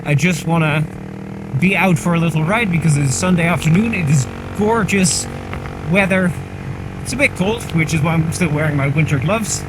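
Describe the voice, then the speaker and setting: A man's voice: a little high, normal speed. A man chatting casually to the camera while riding a motorcycle, rambling and poking fun at himself, recorded on a helmet mic. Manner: chatty, rambling, self-mocking. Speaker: motorcycle vlogger. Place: on a motorcycle on a country road outdoors. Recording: helmet mic with clear engine noise. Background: engine and wind noise.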